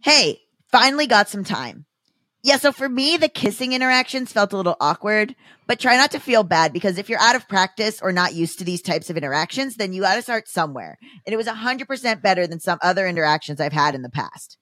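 A woman's voice: medium pitch, expressive, brisk, pushed and bright, no room sound. A woman reading aloud as a self-important man texting blunt dating feedback, in an earnest, condescending dramatic reading for a comedy podcast.

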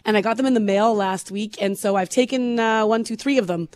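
A woman co-hosting a morning radio show in casual chat, her voice hoarse and scratchy from overuse, laughing often as she talks. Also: medium pitch, fairly quick, darkish tone. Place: radio studio. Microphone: studio microphone.

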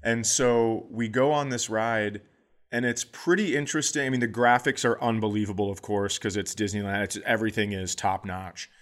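A middle-aged man, a comedian co-hosting a podcast, telling stories in a casual, animated way and acting out the people in them. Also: lowish voice, normal speed. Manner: animated, acts out voices in his stories.

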